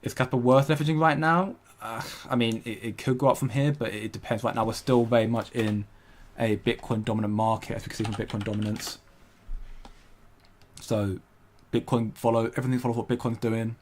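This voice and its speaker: A young man chats loosely on a crypto livestream, reading out viewer comments as he goes. His voice sits at an ordinary middle pitch. He rambles, stumbles and restarts his sentences often. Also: fairly even intonation, fairly quick, slight background noise. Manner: rambling, hesitant, many restarts, laughs often.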